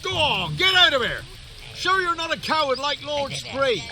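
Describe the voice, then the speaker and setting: A man's voice: very high-pitched, big pitch swings, normal speed, noisy background, heavily acted. A man playing a dim, jittery village peasant on watch, in a comic video game. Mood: suspicious.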